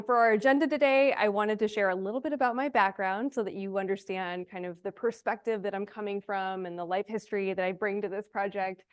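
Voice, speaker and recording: Young female conference presenter, smooth clean voice, informal and explanatory. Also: mid-range voice. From Honduras and Texas. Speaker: speaker, ecological restoration student and forest project lead.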